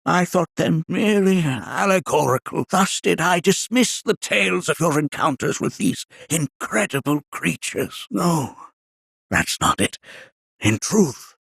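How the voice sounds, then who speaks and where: A wise old game sage, creaky and husky, kindly, talks all formal and ponderous. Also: male voice, fairly high pitch, expressive, a little slow, a bit bright, dry recording, clean studio sound, exaggerated voice. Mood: lecturing, then solemn, confiding. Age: older. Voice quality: raspy, aged. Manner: ponderous, formal, archaic storyteller phrasing. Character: wise, kindly old male scholar and planetary researcher. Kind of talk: video game character voice acting.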